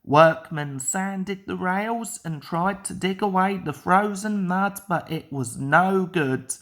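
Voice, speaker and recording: A woman narrates a children's story from a book. Her voice sits a little low, and she takes the text at an extremely slow pace. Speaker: storybook reader.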